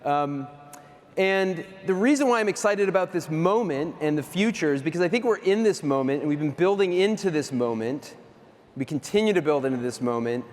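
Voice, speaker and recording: A man's voice: fairly high pitch, a little mellow. Chatty man on a reverberant stage. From US East Coast. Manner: chatty, self-deprecating, many fillers. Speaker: keynote speaker. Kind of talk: casual conference keynote. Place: large hall stage. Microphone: handheld or stage mic. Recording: reverberant hall.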